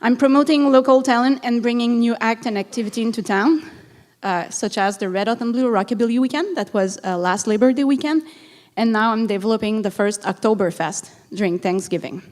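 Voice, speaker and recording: A woman's voice: fairly high pitch, a little fast, darkish tone. French-Canadian woman giving a campaign speech. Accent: Quebec French. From Montreal, Quebec. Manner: earnest, reads a prepared statement. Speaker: city council candidate. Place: council chamber debate.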